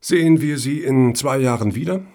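A man's voice: medium pitch, moderate pace, mellow, lightly acted. A man acting in a radio satire as a pedantic, suspicious foundation juror, officious and wordy. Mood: dry.

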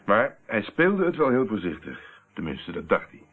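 An actor playing a self-assured private investigator explaining a case in a Dutch radio play, on an old narrow-band recording. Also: male voice, on the low side, wildly swooping pitch, normal speed. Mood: explanatory, assured.

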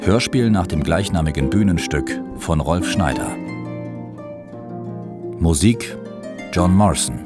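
Male credits announcer, deep and expressive, scripted delivery, prominent plucked music underneath. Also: slow pace, long pauses. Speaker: announcer of radio play credits. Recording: studio voice over loud music. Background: loud plucked harp-like music.

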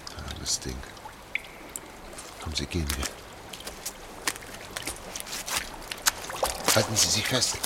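An actor playing a sober, middle-aged man guiding an escape, measured, hushed and methodical in a German radio play. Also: rumbling low, lively intonation. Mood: firm, soothing. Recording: clear noise, trickling water.

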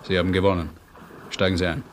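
A man's voice: low voice, flat delivery, fast, close to an everyday voice. A man playing a dry, impatient English agent in a German radio play, clipped, ironic and formally polite. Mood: conceding. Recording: noisy old radio play recording.

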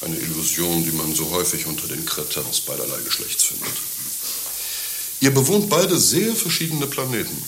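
A man's voice: low-pitched, very animated, moderate pace. A man playing a mocking, mercenary servant in a satirical radio play, lecturing his friend with crude, pedantic condescension. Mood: mocking lecture. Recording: roomy, with faint hiss.

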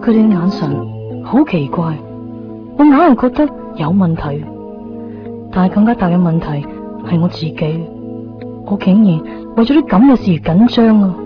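A woman's voice: lowish voice, animated, normal speed, long pauses, natural voice. A woman playing a jealous, prickly young woman in a Cantonese radio drama, with a Hong Kong accent. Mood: jealous, agitated. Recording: dull 1990s radio recording, music behind.

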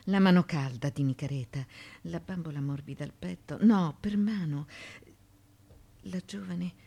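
A woman's voice: fairly low pitch, a little slow, vocal fry, dark tone, breathy edge, full character voice. An actress playing an ancient Greek mother haunted by her childhood, in a theatrical radio monologue of broken musing. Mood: haunted, reminiscing.

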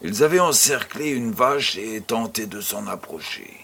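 An actor playing an affectionate, teasing old farmer grandfather who tells tales in an unhurried way in an audio drama. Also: on the low side, lively intonation, creaky, bright, forceful tone, breathy edge, exaggerated voice. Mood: storytelling. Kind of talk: French-language audio drama acting.

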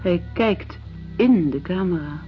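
A woman's voice: fairly low pitch, animated, takes it slowly, faint music behind. Earnest, idealistic young lady. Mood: curious, observant. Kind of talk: radio drama acting. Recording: dull lo-fi radio play recording.

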